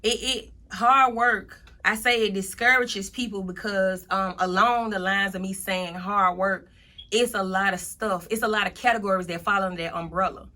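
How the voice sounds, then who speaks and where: A woman's voice: mid-range voice, steady tone, moderate pace, bright, forceful tone. A woman of thirty-one, speaking as a motivational guest in a casual interview, emphatically repeating her points.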